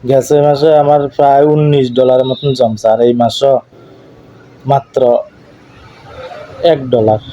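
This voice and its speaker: A man's voice: mid-range voice, fairly even intonation, unhurried, pauses often, mellow. A man with an eastern Bengali dialect arguing casually on a recorded call, insistently repeating his phrases. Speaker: YouTube video creator. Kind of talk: casual domestic argument on a call. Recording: dull lo-fi phone call recording, faint noise.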